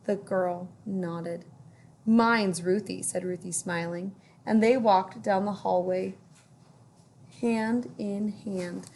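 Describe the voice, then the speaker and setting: A woman teacher reading a picture book aloud to children, gently stopping to ask them questions. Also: mid-range voice, fairly even intonation, a little hiss or hum. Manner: gentle, pauses to ask young listeners questions.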